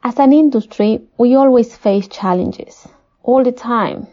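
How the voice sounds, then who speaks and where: A woman from Peru with a Spanish accent, a poultry nutritionist giving measured, formal, prepared answers as an interview guest. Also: on the high side, dark tone. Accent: Peruvian Spanish. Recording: dull, muffled lo-fi audio.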